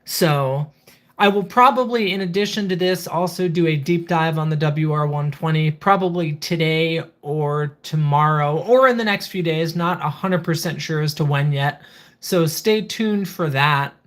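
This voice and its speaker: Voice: mid-range voice, normal speed. A livestream host giving a casual product review and channel update, explaining things methodically step by step.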